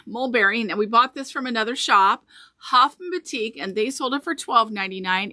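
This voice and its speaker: Bright-voiced woman chatting about fabric. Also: medium pitch, no echo, clean studio sound. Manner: chatty, enthusiastic, repeats item numbers. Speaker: fabric shop co-host. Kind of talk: casual product showcase. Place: indoor fabric store among shelves. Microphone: small camera on table nearby.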